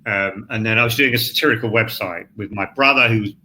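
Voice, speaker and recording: A man speaks on a video call as a panel guest, in a voice that is sharp and very bright. He rambles, restarts his phrases and is blunt about things. Faint background noise sits under him. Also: on the low side, quick pace. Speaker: scriptwriter guest.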